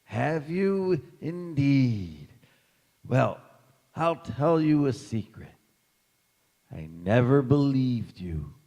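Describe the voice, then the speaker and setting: Guy doing forgetful old grandpa in a radio play, super slow and halting, fond, echoey hall. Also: mid-range voice, big pitch swings, mellow, muffled recording, full character voice. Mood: fondly teasing. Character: feeble old grandfather, forgetful, dreamy, fondly teasing. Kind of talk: stage radio drama acting. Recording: echoey hall with long reverb.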